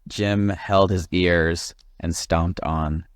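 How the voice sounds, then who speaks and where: A man reading a children's story aloud as an expressive narrator. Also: low voice, very slow, clear, resonant tone, dry recording, clean studio sound. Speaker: storybook reader.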